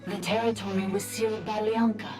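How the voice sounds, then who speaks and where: A woman's voice: medium pitch, fairly even intonation, fairly quick, close to an everyday voice. A woman voicing a terse, literal female automaton in a video game, clipped and matter-of-fact. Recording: echoing voice under loud game music.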